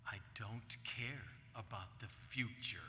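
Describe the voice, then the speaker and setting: A man's voice: lowish voice, takes it slowly, sharp tone, slight background noise. A man playing a hard-nosed, irascible male tycoon in a staged theatre reading, curt, blustering and domineering. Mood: furious dismissal. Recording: reverberant hall, stage recording.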